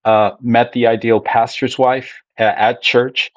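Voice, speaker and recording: A middle-aged man from Ecuador, a pastor being interviewed, telling his story in a measured, reflective way. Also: medium pitch, flat delivery, no echo, lo-fi sound. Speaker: Spanish ministry pastor, guest. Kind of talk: conversational interview.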